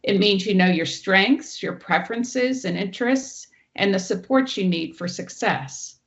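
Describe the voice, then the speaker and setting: A woman presenter with a loud teacher voice, giving an online training talk and walking through each slide. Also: mid-range voice, a little slow, dull audio. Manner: explains clearly, walks through each slide. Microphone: online meeting audio.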